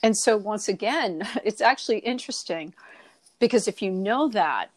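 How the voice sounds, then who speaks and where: A woman's voice: mid-range voice, lively intonation, moderate pace, no room sound. Boston-accented woman co-host, coaching, dry close sound, mid voice. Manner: coaching, asks rhetorical questions, repeats herself. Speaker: podcast co-host. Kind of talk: reflective spiritual discussion.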